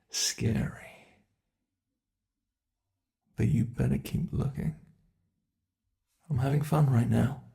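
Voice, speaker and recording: A man's voice: medium pitch, vocal fry, darkish tone. A man voicing an ancient, playful monster under the bed, with a British accent, teasing and menacing in a whispery ASMR roleplay.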